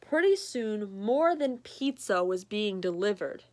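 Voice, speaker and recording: Slow-paced woman reading a children's book aloud. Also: medium pitch, very animated, darkish tone, a little hiss or hum. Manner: playful, chatty asides to the young listener. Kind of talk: read-aloud storytelling for a child. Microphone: recorded to a screen for remote viewing.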